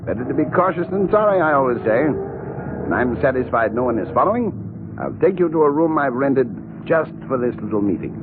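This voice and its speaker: An actor playing a genteel, self-loathing blackmailer in a 1940s radio drama, speaking in a mannered, fussily polite, husky way. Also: male voice, mid-range voice, big pitch swings, brisk, noisy background, close to an everyday voice. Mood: polite, cautious. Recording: muffled, narrow-band 1940s radio recording.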